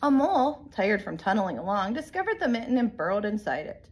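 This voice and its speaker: A woman reading a picture book aloud in an animated, emphatic way, like a teacher telling a story to young children. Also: mid-range voice, a little fast. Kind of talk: expressive storybook read-aloud.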